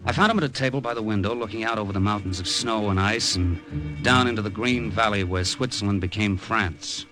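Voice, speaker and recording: An actor playing a wry, easygoing middle-aged American gambler, speaking in a laconic 1950s radio drama style. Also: male voice, on the low side, a little fast, over music, lightly acted, low-quality recording. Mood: wry narration. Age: 40s. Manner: laconic, breezy, conversational. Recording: vintage radio drama recording.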